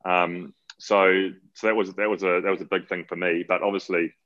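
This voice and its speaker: A very low-voiced man with a New Zealand accent talks easily and at length as an interview guest. He is relaxed and loose, often doubling his words. The audio is dull and lo-fi. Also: normal speed. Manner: relaxed, rambling, repeats words. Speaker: guest, cyclist. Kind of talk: casual interview talk.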